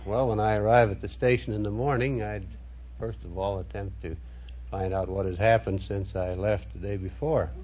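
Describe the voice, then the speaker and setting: A man's voice: on the low side, fairly even intonation, normal speed, darkish tone. An American man from Minnesota answering a quiz host's questions in a plain, laconic, matter-of-fact way. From Minnesota, United States. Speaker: quiz contestant, police chief. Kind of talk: unrehearsed interview answers. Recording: muffled old radio recording, faint noise.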